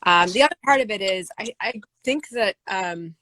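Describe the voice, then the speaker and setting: A woman singer-songwriter with a low voice, answering interview questions thoughtfully, with many fillers and restarts. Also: expressive, normal speed, sharp tone, no echo. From United States.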